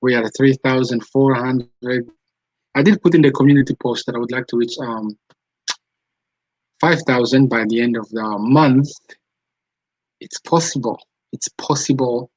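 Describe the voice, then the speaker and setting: A man's voice: mid-range voice, fairly even intonation, moderate pace, long pauses, darkish tone, breathy edge, no room sound, rough recording. A man from Rwanda, hosting a live stream, chatting casually and answering viewers' comments as they come in. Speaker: channel host.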